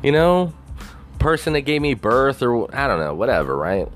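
A man's voice: medium pitch, big pitch swings, normal speed, faint music behind. A man on a casual, rambling rant, swearing constantly and leaning on "you know" fillers.

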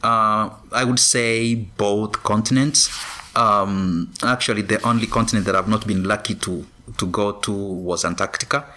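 Man from Kenya, low voice, measured, pausing, interview guest. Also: steady tone, sharp tone. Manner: measured, many pauses and ums. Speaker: guest, former professional runner. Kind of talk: casual interview answers.

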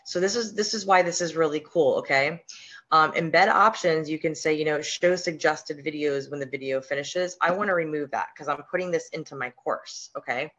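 A hoarse woman talks in a loose, chatty way as she walks viewers through her screen on a live stream, full of ums and quick restarts. Her voice sits mid-pitch, and the sound is a little dull and lo-fi, like a laptop mic. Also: fairly bright tone. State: hoarse, slight sore throat. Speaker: podcast host and online business coach. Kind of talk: casual live-stream screen-share walkthrough.

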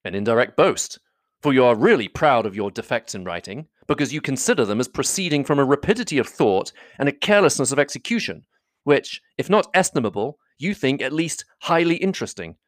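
A reader voicing a proud, reserved gentleman in a classic novel audiobook, with measured, formal speech. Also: medium pitch, sing-song, no echo, clean studio sound, close to an everyday voice. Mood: critical.

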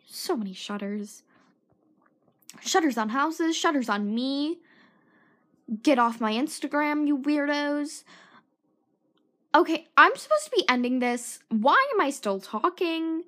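A woman's voice: sits high, animated, slow pace, pauses often, fairly bright tone. Rambling teen girl with a sore throat. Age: teens. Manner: self-mocking, scattered, drifts into whispered asides. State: sore throat, losing her voice. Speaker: podcast host. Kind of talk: rambling solo podcast chat.

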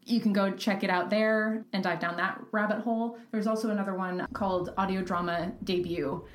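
A woman's voice: medium pitch, steady tone, moderate pace. A woman podcast guest talking casually and candidly, giving informal advice with the odd swear word. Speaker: podcast guest, fiction podcast creator. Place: living room. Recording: roomy echo, faint background noise.

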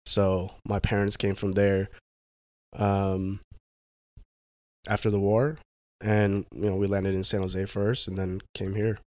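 A young man speaks in a low voice, easygoing but halting, restarting phrases and leaning on fillers as he tells his story. The sound is dry and close, with no room behind him. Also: completely flat delivery, darkish tone, no room sound. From Pacific Northwest, United States. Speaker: guest. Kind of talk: casual conversational interview.

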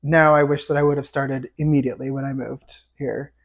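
A man with a fairly high, light voice, chatting as a guest on a podcast and telling stories with many fillers. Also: level tone, a little fast, creaky. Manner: chatty, storytelling with fillers and laughs. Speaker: podcast guest, chorus tenor. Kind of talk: casual interview chat.

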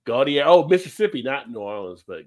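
A man in casual chat, laughing often and telling stories with animated energy. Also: mid-range voice, a little fast, no room sound, clean studio sound. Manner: laughs often, lively storytelling.

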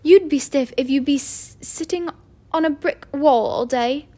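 Woman doing a strict snappy witch teacher, high and loud, impatient. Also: a little slow. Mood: irritable. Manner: clipped, sharp, impatient.

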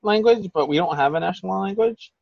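A man's voice: high-pitched, a little fast, creaky, dry recording, lo-fi sound. A man co-hosting a podcast in casual chat, talking in a heated, profane, rambling way. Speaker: podcast co-host.

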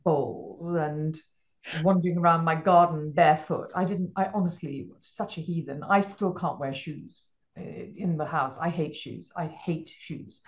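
A woman's voice: low-pitched, a little slow, dull audio. A middle-aged woman from Malawi, a guest entrepreneur, telling stories in casual interview chat with many restarts. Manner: rambling, frequent restarts, animated storytelling.

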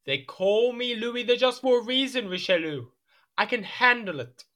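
A man's voice: very high voice, moderate pace, bright, forceful tone. An actor playing a petulant, imperious young king in an audio drama, whiny and theatrical. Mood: haughty, impatient. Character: petulant, excitable young French king, easily outraged.

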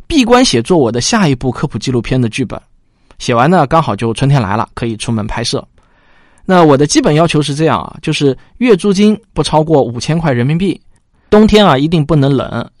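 Lively-pitched male host, very dry sound. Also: no echo. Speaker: host and producer. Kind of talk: presenter talking to listeners.

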